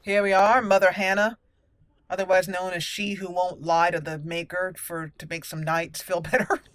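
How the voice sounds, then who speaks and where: A woman's voice: lowish voice, little pitch movement, moderate pace, a bit bright, no echo. A woman hosting a gaming channel, giving casual, playful commentary on a roleplay game in a chatty way.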